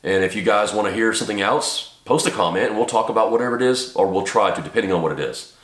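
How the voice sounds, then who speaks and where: Deep-voiced, congested man talking to camera. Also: fast talker, fairly bright tone, echoey room. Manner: rambling, many ums and restarts, earnest. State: congested from a cold. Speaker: channel host and small business owner. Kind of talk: casual talk to camera. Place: home office.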